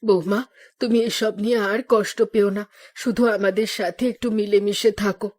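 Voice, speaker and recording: A woman's voice: medium pitch, fairly even intonation, normal speed, darkish tone, close to an everyday voice. A woman voicing an older, family-minded, anxious mother-in-law in a moral cartoon. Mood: relieved. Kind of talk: Bengali moral cartoon voice acting.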